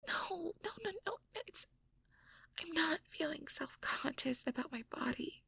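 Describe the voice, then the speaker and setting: A woman playing a shy, anxious young mage girlfriend in an intimate audio roleplay, hesitant and stammering in a breathy whisper. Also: high voice, expressive, measured pace, bright, forceful tone, no echo, exaggerated voice. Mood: self-conscious, vulnerable. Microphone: close microphone, dry.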